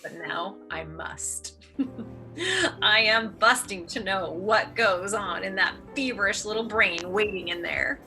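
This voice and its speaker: A woman's voice: mid-range voice, lively intonation, slow, bright, forceful tone, soft background music, exaggerated voice. A woman acting in a radio play as a witty, playfully theatrical stage star. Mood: wry, amused.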